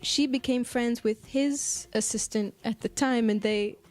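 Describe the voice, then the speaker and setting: Higher-pitched American woman, thoughtful. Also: unhurried, darkish tone. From United States. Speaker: singer-songwriter being interviewed. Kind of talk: casual interview. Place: apartment.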